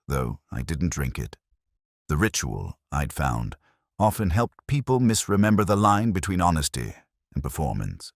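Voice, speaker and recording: A man reading a scripted first-person detective story in a measured, reflective, literary manner. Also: sits low, dry recording, clean studio sound. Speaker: narrator.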